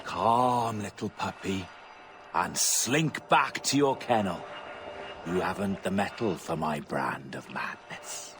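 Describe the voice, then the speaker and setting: An actor playing a swaggering, mocking pirate captain in a video game, speaking in grand, taunting declamation. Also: male voice, deep voice, slow pace, noisy background. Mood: cool taunting.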